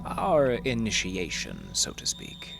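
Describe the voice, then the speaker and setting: A man acts out a formal, coolly polite male vampire in a radio drama. His speech is unhurried and gravelly, full of quiet menace, over loud music. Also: on the low side, huge pitch swings, full character voice, low-quality recording. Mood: suave menace.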